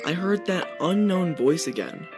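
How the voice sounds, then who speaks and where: A man's voice: sits high, lively intonation, moderate pace. A young adult man reading a scripted first-person horror story as its narrator. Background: guitar music.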